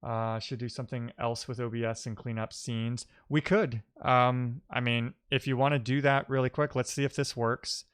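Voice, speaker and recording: A man streaming live coding, talking casually and haltingly as he thinks aloud and mutters to himself while he works. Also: mid-range voice, flat delivery, fairly bright tone, clean tone.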